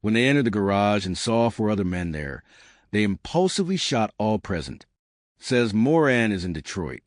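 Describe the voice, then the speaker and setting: A man with a fairly low voice reads scripted news copy. His intonation moves up and down a lot, and the recording is dry and close, with no room sound. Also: very animated, moderate pace, dry recording. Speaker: narrator reading newspaper reports.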